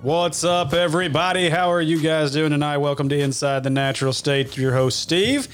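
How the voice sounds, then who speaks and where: A man's voice: fairly high pitch, fairly even intonation, moderate pace, faint music behind. Laughing man hosting a podcast. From Arkansas, USA. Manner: laughs often, swears casually. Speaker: podcast host. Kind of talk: casual podcast banter. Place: small home studio.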